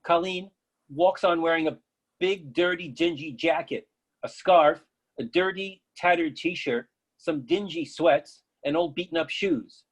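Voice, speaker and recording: Man, slightly high voice, husky, scripted narration, reads stage directions. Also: unhurried.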